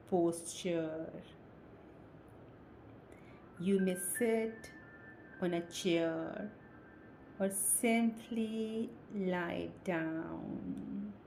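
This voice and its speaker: Very slow, mellow woman guiding meditation. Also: medium pitch, slight background noise. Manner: soothing, deliberate short phrases with long pauses. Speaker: hypnotist, guided meditation guide. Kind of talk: slow guided meditation, hypnosis induction.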